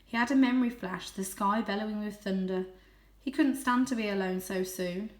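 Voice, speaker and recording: Expressive woman storyteller in an echoey room. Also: medium pitch, normal speed. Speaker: teacher reading aloud. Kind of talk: expressive children's storybook narration.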